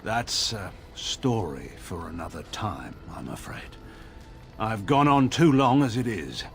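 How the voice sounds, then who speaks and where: A man playing a proud, weary, sardonic veteran warrior nobleman in a fantasy video game, speaking in a wry, grave and measured way with formal phrasing. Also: fairly low pitch, sing-song, vocal fry, exaggerated voice. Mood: reminiscing, earnest. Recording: studio voice with game music behind. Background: music.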